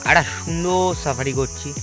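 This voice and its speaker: A man's voice: fairly high pitch, normal speed, music in the background. A man with a West Bengal Bengali accent chatting casually as a travel vlogger and interviewer. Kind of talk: casual vlog chat and interviewing. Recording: noisy outdoor location sound with insects.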